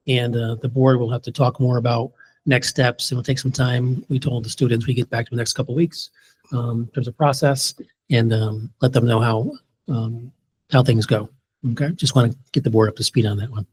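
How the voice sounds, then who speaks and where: Board chair, male, mid pitch, easygoing and informal, faint noise behind. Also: fairly even intonation, fairly quick, darkish tone. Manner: informal, calls on members by first name. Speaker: board president chairing the meeting. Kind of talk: casual meeting chat.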